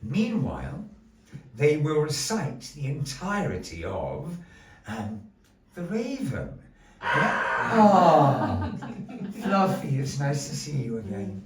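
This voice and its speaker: A man's voice: on the high side, wildly swooping pitch, slow. A man acting as master of ceremonies at a puppet show in a gallery, compering with flamboyant, mock-dramatic showmanship. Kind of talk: theatrical stage compering. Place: indoor gallery venue. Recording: roomy, distant, faint background noise.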